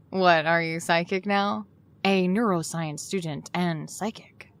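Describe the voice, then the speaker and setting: A woman's voice: on the low side, takes it slowly. An actress playing a weary, frustrated young woman in an audio drama, talking in a casual, exasperated way. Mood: teasing. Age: young adult. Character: weary, frustrated young woman, sarcastic with her best friend.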